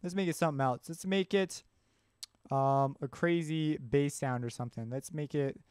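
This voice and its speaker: A man presenting a software tutorial in an informal, offhand way, thinking aloud as he works. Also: a little high, moderate pace. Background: synth music and instrument sounds.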